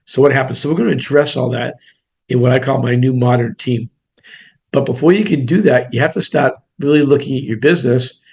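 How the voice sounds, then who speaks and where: Gravelly older man coaching in a webinar. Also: mid-range voice, a little mellow. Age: 60s. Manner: blunt, conversational, self-deprecating. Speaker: real estate coach. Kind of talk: webinar presentation.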